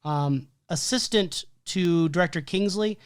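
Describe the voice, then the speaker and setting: A man talks through his prepared notes in a steady, methodical way, often pausing with an "um" or a "like". His voice is wearing thin and going hoarse. The recording is dry and close, with almost no room sound. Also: high-pitched, dry recording. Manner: methodical, listing details with frequent "um" and "like". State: losing his voice. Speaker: podcast co-host. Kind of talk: reading prepared film analysis notes.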